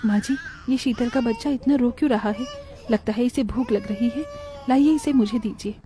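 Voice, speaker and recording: A woman playing a kind, nurturing young mother and aunt in an animated family story, speaking gently and soothingly. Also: on the high side, moderate pace, close to an everyday voice. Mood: concerned, caring. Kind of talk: animated Hindi moral story dialogue.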